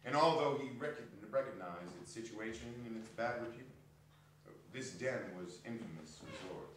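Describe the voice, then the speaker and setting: Low-voiced man narrating in echoey hall. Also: expressive, normal speed. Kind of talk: theatrical dramatic reading, storytelling. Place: indoor arts center hall, live performance. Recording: distant echoey hall, audience noise.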